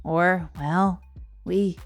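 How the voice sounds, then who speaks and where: A woman's voice: lowish voice, steady tone, very slow, soft background music. A woman playing a self-deprecating radio host in an improvised comedy audio drama, conversational and given to digressions. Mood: chatty, wry. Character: chatty, rambling radio show host chronicling self-improvement attempts. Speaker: host and narrator.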